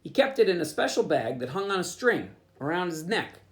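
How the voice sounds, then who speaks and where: A pastor reading a children's picture book aloud, with playful asides. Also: male voice, sits high, brisk. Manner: animated storytelling with asides.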